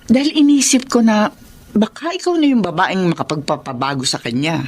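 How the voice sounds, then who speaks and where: A woman's voice: mid-range voice, brisk, natural voice. An actress with a Filipino accent playing a troubled, kindly middle-aged store owner and mother in a radio drama. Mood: disappointed, confiding. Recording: faint music behind.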